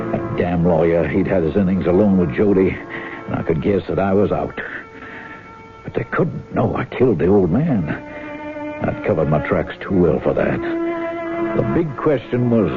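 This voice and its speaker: A man's voice: low-pitched, very animated, measured pace, exaggerated voice. An actor playing a resentful, scheming older brother on a farm, a murderous man, in a 1970s radio drama. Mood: cold scheming. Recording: phone-like old radio broadcast, loud music behind.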